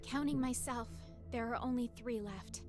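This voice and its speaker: Woman acting desperate young female captive, higher voice, halting dramatic game delivery, heavy music behind. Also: close to an everyday voice. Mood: numb, grieving.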